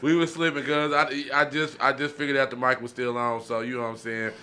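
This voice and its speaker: A man's voice: medium pitch, level tone, a little fast, bright, forceful tone. A man hosting a podcast in a casual, hyped, slangy way with repeated catchphrases. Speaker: podcast host. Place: garage. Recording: noisy garage with fan hum. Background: fan noise.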